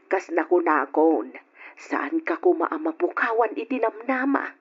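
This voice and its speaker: A woman's voice: on the low side, expressive, unhurried, slightly rough. An actress playing a caring middle-aged mother in an old radio drama, speaking tenderly and reassuringly. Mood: fond. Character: caring mother of grown children. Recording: dull old radio recording.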